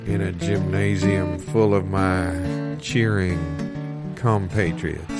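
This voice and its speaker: A man's voice: low voice, fairly even intonation, gravelly, heavily acted. Man playing a folksy storyteller over guitar music. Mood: wry, wistful. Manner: folksy, wry, unhurried storytelling. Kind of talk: improvised comic monologue. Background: plucked guitar music.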